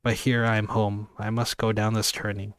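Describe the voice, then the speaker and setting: A man reading the lines of a reserved, gentle young woman from a classic novel, in his own flat voice. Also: fairly low pitch, normal speed, clean tone, dry recording, clean studio sound, natural voice.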